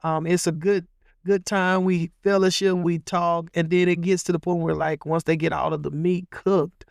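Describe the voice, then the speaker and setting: Young male co-host from Mississippi, gravelly, slightly high, rambling storyteller, dry close mic. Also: little pitch movement, moderate pace, dry recording. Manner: rambling, filler-heavy storytelling. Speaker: podcast co-host. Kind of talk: casual podcast chat.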